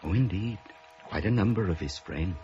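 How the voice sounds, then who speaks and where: A man's voice: lowish voice, moderate pace, mellow, a little hiss or hum, lightly acted. A man acting a courteous, chatty funeral parlor attendant in a 1950s radio drama, genteel and hesitant. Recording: muffled, humming old radio recording.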